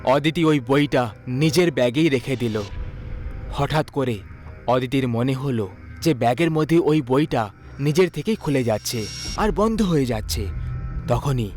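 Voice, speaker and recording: A man's voice: medium pitch, unhurried, long pauses, darkish tone, soft background music. A man narrating a scripted horror story.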